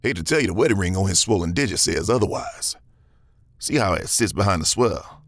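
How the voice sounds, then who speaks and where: An actor playing a cocky, dangerous gunslinger in a Western audio drama, with a gravelly Southern American drawl and theatrical, taunting delivery. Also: male voice, sits low, lively intonation, fairly quick, fairly bright tone, dry recording, studio quality. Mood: smug, needling.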